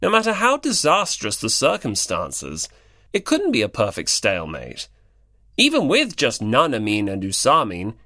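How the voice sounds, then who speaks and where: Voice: medium pitch, a bit bright, no room sound, studio quality. An audiobook narrator reading scripted fiction in the third person.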